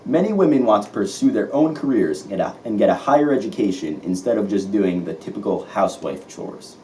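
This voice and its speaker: A man's voice: lowish voice, very animated, brisk, mellow. A man playing an earnest 1950s news anchor in an amateur scripted mock newscast. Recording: distant mic, faint noise.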